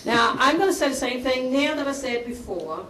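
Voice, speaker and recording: Higher-voiced woman testifying in a roomy hall. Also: animated, moderate pace, fairly bright tone. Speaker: resident speaking at public hearing. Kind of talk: public testimony. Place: indoor public meeting room. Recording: roomy hearing room, faint background noise.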